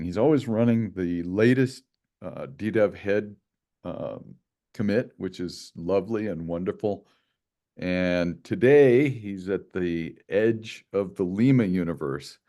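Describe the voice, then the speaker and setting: A man hosting a casual video call meeting, relaxed and chatty with many fillers. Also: low voice. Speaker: meeting host, project lead.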